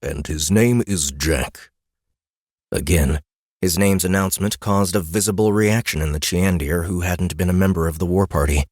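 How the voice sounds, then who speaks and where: Low-pitched man narrating fantasy fiction. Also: fairly quick, darkish tone, no echo, studio quality. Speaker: audiobook narrator.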